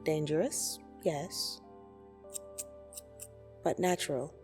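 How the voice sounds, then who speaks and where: A woman playing a blunt, teasing yet soothing dream demon in an ASMR roleplay, speaking deliberately and intimately with gentle condescension. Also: sits low. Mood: soothing, concerned. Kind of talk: ASMR roleplay audio drama. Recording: soft music behind the voice. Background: soft meditation music.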